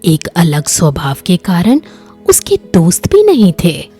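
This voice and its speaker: Very animated, slow-paced woman storyteller. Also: lowish voice, breathy edge, faint music behind. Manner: expressive, dramatic storytelling. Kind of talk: scripted children's story narration.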